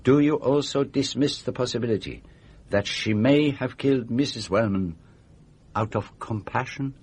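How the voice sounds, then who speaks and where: An actor playing a polite, methodical foreign private detective in a radio mystery drama, with formal, deliberate phrasing. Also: male voice, mid-range voice, a little mellow, slightly rough, natural voice. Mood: measured probing.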